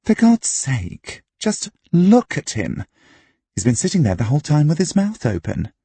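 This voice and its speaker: Man putting on a flamboyant, high-pitched theatrical village wit. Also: wildly swooping pitch, a little slow, mellow, husky, no room sound, full character voice. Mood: exasperated. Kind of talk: audiobook character acting.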